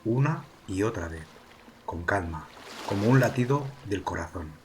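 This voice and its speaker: A man with a fairly low voice leads a guided meditation. He speaks in a calm, soothing manner, leaving pauses between slow phrases. Soft sea waves wash faintly behind his voice. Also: slight background noise. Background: sea waves, water ambience.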